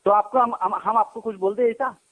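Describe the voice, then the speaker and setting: A man's voice: high voice, fairly even intonation, brisk, fairly bright tone. A man, a native Gujarati speaker, in a heated phone argument, scolding and insistently repeating his challenges and questions. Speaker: devotee of a guru, arguing on a phone call. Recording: recorded phone call.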